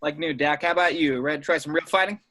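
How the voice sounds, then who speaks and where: A woman's voice: low-pitched, fast, fairly bright tone. A woman playing an easygoing young male rebel pilot commander in an amateur table read. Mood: relaxed, friendly. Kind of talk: amateur remote table read of a film script.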